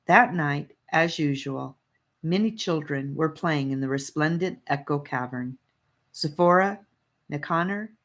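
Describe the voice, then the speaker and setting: Woman, low pitch, slow pace, careful expressive audiobook narration, children's fantasy. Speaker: audiobook reader.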